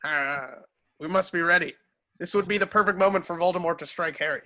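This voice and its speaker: A man playing a gruff, commanding veteran wizard in an amateur script read, barking clipped orders. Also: sits high, brisk, pushed and bright.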